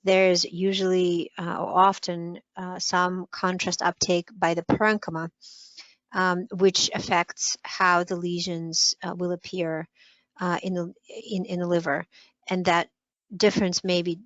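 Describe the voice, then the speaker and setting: A woman's voice: lowish voice, level tone, measured pace, no room sound. A woman lecturer answering a question with careful, precise explanation and many fillers. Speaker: guest lecturer. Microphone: video call. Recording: dull muffled web call audio.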